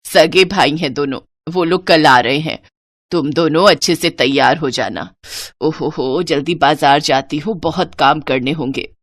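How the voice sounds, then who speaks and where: A woman's voice: deep voice, unhurried, dry recording, pitched below the performer's own voice. A woman voicing a caring, fussing middle-aged mother of grown daughters in a moral story, earnest in manner. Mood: excited. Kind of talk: Hindi moral story character acting.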